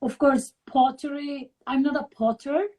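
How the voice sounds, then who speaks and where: A middle-aged woman from Turkey with a Turkish accent, speaking slowly and haltingly as she searches for words in an interview. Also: high-pitched, fairly even intonation, a little mellow. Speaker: guest artist. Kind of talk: interview over a live video call.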